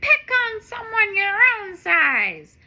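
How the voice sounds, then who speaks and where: A woman voicing a brave, cheerful male super cat in a storybook, talking boastfully and excitedly. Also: very high voice, slow pace, pitched above the performer's own voice, full character voice.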